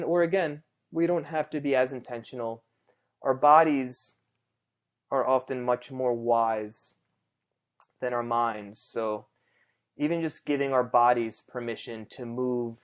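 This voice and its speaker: A man leading a guided meditation conversationally, in a gentle, reassuring, unhurried way with pauses between phrases. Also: medium pitch, clean tone.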